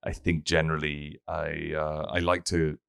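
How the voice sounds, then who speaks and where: Male interview guest, English accent, very low voice, fairly even intonation, loose conversational storytelling. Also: unhurried, creaky, smooth, no echo, studio quality. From Czech Republic. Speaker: interview guest, tech founder.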